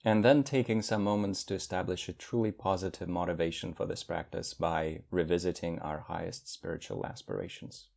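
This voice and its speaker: Deep-voiced man guiding a meditation. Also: steady tone, gravelly, a little mellow. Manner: measured, instructional, with long pauses between phrases. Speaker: meditation teacher. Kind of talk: guided meditation.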